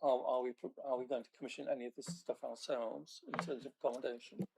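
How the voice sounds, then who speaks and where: Guy at a council meeting, gravelly voice, keeps restarting his sentences. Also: medium pitch, fairly even intonation, darkish tone, poor audio. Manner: halting, many restarts and fillers. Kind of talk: questioning at a council committee meeting.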